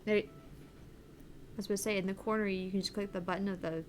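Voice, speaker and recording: A young woman co-hosting a casual let's-play stream, animated, laughing often and swearing casually. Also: medium pitch, fast talker, slight background noise. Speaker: game streamer co-host.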